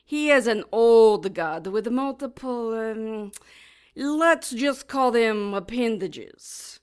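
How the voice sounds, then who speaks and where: An actress playing a taunting, smugly sadistic female demon in an audio drama, making mock-polite threats with deliberate menace. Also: on the high side, animated, clean tone.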